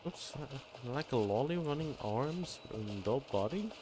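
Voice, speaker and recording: A man doing casual live gameplay commentary as a streamer, halting and often repeating how his phrases start. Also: medium pitch, animated, heavy background noise. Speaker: game streamer. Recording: faint game music behind.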